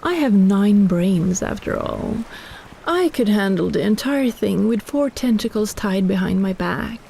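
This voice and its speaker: A woman's voice: medium pitch, lively intonation, a little slow, vocal fry, warm tone, slight background noise, poor audio. A woman playing a possessive, teasing kraken in an intimate roleplay audio drama, coaxing and condescending as she schemes. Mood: scheming, persuasive.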